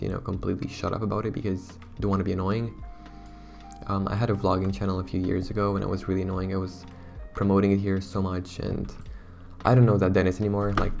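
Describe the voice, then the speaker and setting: A young man talks to his viewers in a loose, rambling, self-conscious way, his deep voice rough and hoarse. There's a gravelly fry under his words, and faint music plays behind him. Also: a little mellow. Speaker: YouTuber. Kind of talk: casual talk to camera.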